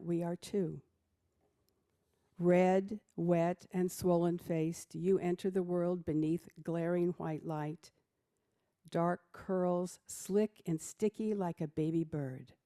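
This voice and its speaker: A soft-spoken woman reads a poem at a worship service, taking her time with each line. Her voice sits low and mellow, gentle rather than projected. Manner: gentle, unhurried reading. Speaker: worship reader. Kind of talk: reading a poem aloud in a service.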